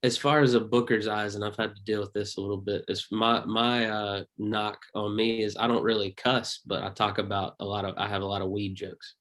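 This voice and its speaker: A man with a Southern accent, a stand-up comedian chatting casually as a guest in a relaxed, rambling way. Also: fairly low pitch, a little fast, hardly any pauses. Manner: laid-back, rambling, laughs often. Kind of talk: casual interview chat.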